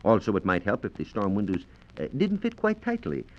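An American man giving formal, measured scientific explanations as a reporter on a 1940s radio program. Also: on the low side, huge pitch swings, a little mellow, a little hiss or hum. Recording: dull, band-limited 1940s radio transcription disc.

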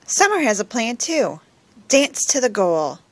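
A woman reading a children's picture book aloud in simple, expressive phrases for young listeners, recorded at home on a phone. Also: on the high side, a little slow, no echo. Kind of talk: children's storybook read-aloud. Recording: noisy home recording with clicks.

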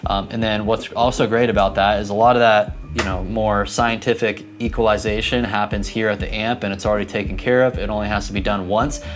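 Low-voiced man explaining things. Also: fairly even intonation, a little fast, hardly any pauses, soft background music. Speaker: church audio instructor. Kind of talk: explanatory tutorial talk to camera. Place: indoors, equipment room and church hall. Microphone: clip-on lapel mic.

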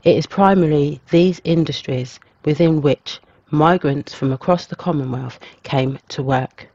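A man reading a scripted documentary narration as a storyteller, in a measured, even way. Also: a little high, no room sound, lo-fi sound. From England.

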